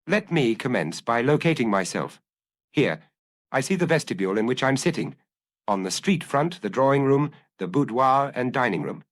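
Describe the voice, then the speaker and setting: A man voicing a suave, self-assured gentleman burglar musing to himself, urbane and drily amused, in a storybook reading. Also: medium pitch, big pitch swings, moderate pace, lightly acted. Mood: brisk, pleased.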